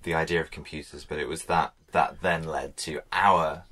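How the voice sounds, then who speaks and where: A man's voice: rumbling low, fairly even intonation, moderate pace, fairly bright tone, slightly rough. A man co-hosting a podcast, explaining ideas in a casual, explanatory way. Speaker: podcast co-host.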